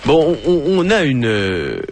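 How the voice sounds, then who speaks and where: A man from France with a French accent, hosting a radio show in casual talk, pausing often with fillers and restarts. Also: medium pitch, huge pitch swings. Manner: hesitant, with frequent fillers and restarts. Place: radio studio.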